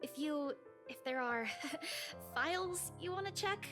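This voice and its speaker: A woman's voice: sits high, animated, slow. A woman voicing an eager, stubborn young woman who is earnest and playfully huffy in a visual novel game. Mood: earnest pleading. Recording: game dialogue with loud backing music.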